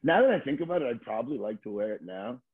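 Middle-aged man, husky voice, casual storyteller. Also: mid-range voice, very animated, fast, narrow, tinny audio. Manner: informal, slangy, swearing, frequent "like" and "you know". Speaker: podcast guest, skateboarder.

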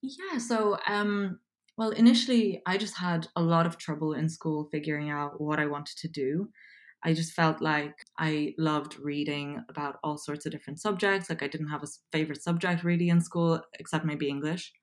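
A woman's voice: low voice, steady tone, moderate pace. A woman in her twenties with an Irish accent, chatting casually as an interview guest and filling her talk with likes and ums. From Poland. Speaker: guest, copywriter.

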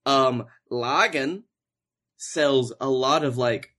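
A young man with an average-pitched voice chats on a comedy podcast. He tells everyday stories with wry mock-seriousness, swears freely and acts out his own thoughts. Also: very slow, a bit bright. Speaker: podcast co-host. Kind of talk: casual comedic storytelling chat.

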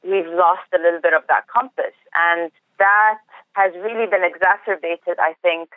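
A woman's voice: fairly low pitch, fairly even intonation, normal speed, no echo. A woman from Egypt speaking as an interview guest, telling her own story in a conversational way. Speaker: interview guest, food systems researcher. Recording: narrow phone-like line.

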